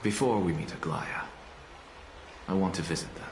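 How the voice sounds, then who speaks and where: A man's voice: deep voice, normal speed, echoey room, slight background noise, lightly acted. A man voicing a haughty scholar professor in a video game, dry, self-assured and dismissive. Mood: aloof. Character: haughty scholar professor Anaxa, aloof and sardonic.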